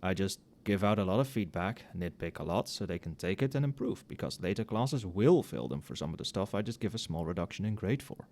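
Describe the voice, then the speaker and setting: A man playing an overworked, tipsy magic professor in a casual ASMR roleplay, muttering softly with dry, wry asides. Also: low-pitched, animated, fairly quick, few pauses. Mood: musing, tipsy. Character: tipsy, overworked male magic professor grading late at night.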